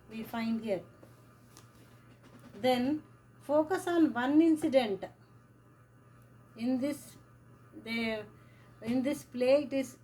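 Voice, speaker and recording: A woman teaching a lesson on a play, talking slowly and repeating words and phrases as she writes. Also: sits high, a little mellow, a little hiss or hum, rough recording. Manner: slow, repeats words and phrases while writing. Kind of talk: classroom lesson explaining a play.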